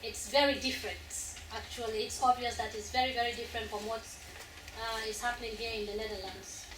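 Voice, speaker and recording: A woman's voice: fairly high pitch, steady tone, fast talker. Woman moderating in an echoey hall. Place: school hall. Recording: echoey hall from far off, noisy.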